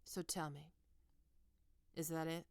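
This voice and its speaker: A woman playing a stern, teasing goth college professor in an ASMR roleplay, speaking deliberately and condescendingly into a close microphone. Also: sits low, little pitch movement, gravelly, no echo, exaggerated voice. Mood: probing, taunting. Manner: condescending, taunting, deliberate with pauses. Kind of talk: ASMR roleplay, scripted monologue to listener.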